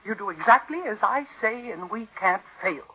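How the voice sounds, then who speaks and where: A woman's voice: medium pitch, animated, moderate pace, bright, forceful tone, breathy edge, faint music behind. A woman playing a cold, scornful niece scheming to murder for an inheritance, in commanding, theatrical 1940s radio suspense style. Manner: commanding, contemptuous, coolly theatrical. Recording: muffled vintage radio recording.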